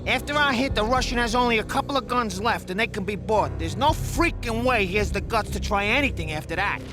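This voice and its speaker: A boastful mobster in a noir game, notably high in pitch. He is cocky and scheming, with music clearly behind him. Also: expressive, a little fast. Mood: cocky, dismissive. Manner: cocky, dismissive.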